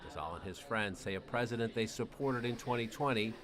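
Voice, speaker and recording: A man's voice: on the low side, a little fast, a crowd in the background. A man narrating a scripted news report as a correspondent.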